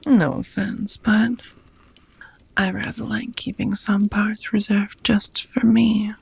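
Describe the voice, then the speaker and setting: Woman playing a slow, whispering, seductive vampire. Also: mid-range voice, little pitch movement, dry recording, exaggerated voice. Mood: tender, encouraging. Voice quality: soft, breathy whispering. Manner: slow, sultry, formal wording with pet names. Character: seductive, doting female vampire roommate, teasing and possessive. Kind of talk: intimate ASMR romance roleplay.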